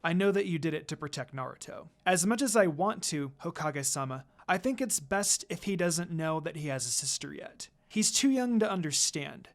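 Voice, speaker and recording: A composed young ninja woman from an anime fan story, read aloud by a woman. She is reserved and gently teasing, and her pitch moves in a lively way. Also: moderate pace, hardly any pauses. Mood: composed, polite. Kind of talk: fan fiction character dialogue read aloud.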